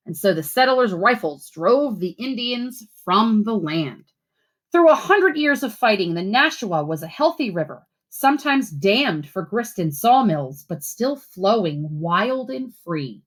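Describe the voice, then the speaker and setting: A woman reading a children's picture book aloud like a teacher, with clear phrasing and expressive, instructive delivery. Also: mid-range voice. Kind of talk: storybook read-aloud for children.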